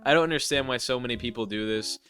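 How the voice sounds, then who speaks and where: A man's voice: medium pitch, fast, a bit bright, clear, resonant tone, soft background music. A man with a congested, stuffy voice doing a scripted, sarcastic voice-over roast as a gaming YouTuber. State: congested, nasal from allergies.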